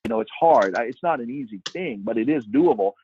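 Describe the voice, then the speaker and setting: A man's voice: medium pitch, big pitch swings, a little fast, vocal fry, a little mellow, a little hiss or hum. A man answering questions as a guest expert in an informal webinar, giving emphatic advice over a phone-like connection. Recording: tinny phone-line sound, dry.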